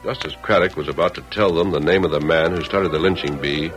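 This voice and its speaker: Old time radio announcer guy, american, low voice, dramatic, music playing loud behind. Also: fairly even intonation, fast talker. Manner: formal, dramatic. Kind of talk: 1940s radio drama narration.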